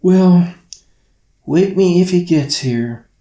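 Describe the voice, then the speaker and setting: A man's voice: high-pitched, takes it slowly, warm tone, reverberant, voice pushed up. A man voicing a playful, drowsy young girl with slurred, sleepy words in a children's story. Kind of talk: children's bedtime storybook reading.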